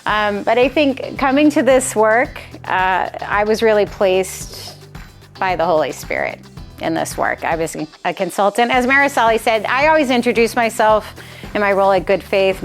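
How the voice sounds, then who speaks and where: A woman's voice: medium pitch, normal speed, faint music behind. An American woman in her forties speaking informally and with some self-deprecation as she presents to a small group in a conversational way. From Massachusetts, United States. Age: 40s. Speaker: presenter, nonprofit president.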